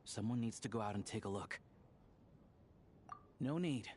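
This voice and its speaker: A man's voice: lowish voice, measured pace. A man voicing a cautious, level-headed character who takes charge, in a video game. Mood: resolute. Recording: steady background noise.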